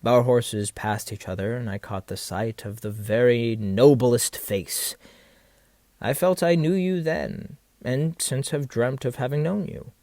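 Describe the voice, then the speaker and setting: An actor playing a vain, scheming, seductive military captain in a florid, theatrical audio drama style. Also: male voice, medium pitch, dark tone, dry recording, lightly acted. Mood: sly, seductive. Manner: theatrical, florid, pausing rhetorically. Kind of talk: stage-play style period audio drama acting.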